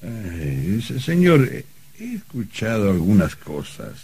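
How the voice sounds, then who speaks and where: Deep-voiced humble gardener. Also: male voice, huge pitch swings, slow, creaky, darkish tone, slight background noise, full character voice. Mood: deferential. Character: humble, hesitant male gardener. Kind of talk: radio drama acting.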